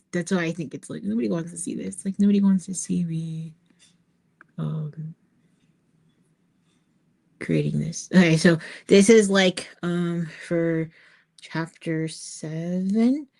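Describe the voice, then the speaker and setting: A woman artist chatting informally to her viewers as she works, halting and thinking aloud. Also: low voice, vocal fry, darkish tone. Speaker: author-artist hosting a creative livestream. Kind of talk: casual chat to viewers while working on art.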